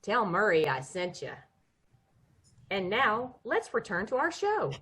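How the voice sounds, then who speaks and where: A woman's voice: medium pitch, sing-song, a little slow, noisy background. A woman reading an old-time radio commercial as a cheery bank announcer, from home on a video call. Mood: upbeat. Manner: bright salesy ad read.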